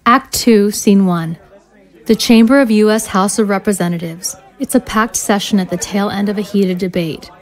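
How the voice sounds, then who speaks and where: A woman's voice: mid-range voice, normal speed. A woman narrating scene directions from a screenplay in scripted style.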